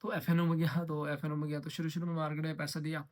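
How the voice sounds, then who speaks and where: A young man from the Himalayan hills of Uttarakhand speaks, pitched a little above the usual for a man. His delivery is rapid and rather flat, rambling and repeating himself while slipping English phrases into casual vlog chat. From Uttarakhand, India. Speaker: trading vlogger.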